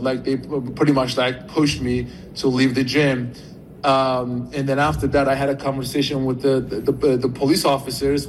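A man's voice: medium pitch, a little hiss or hum, rough recording. Turkish-accented man recounting events. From Turkey. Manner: halting, recounting with many restarts. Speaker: interviewee in a played clip.

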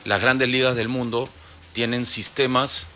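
A Peruvian man in his forties, a football club executive answering radio interview questions in a measured, explanatory way with many fillers. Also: mid-range voice, fairly even intonation, pushed and bright, soft background music. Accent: Peruvian Spanish. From Peru. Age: 40s.

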